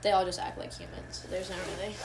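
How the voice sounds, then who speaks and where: A young woman in casual chat, rambling with lots of fillers and getting excitable. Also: medium pitch, fast, background noise.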